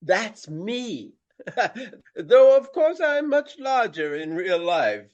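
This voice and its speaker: A man playing a pompous Regency gentleman and ham tragedian in a radio play, with grandiloquent, melodramatic delivery. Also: sits high, very animated, very slow, muffled recording, full character voice. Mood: self-pleased, amused. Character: pompous Regency gentleman, a hammy amateur tragic actor. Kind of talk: declaimed theatrical radio play acting.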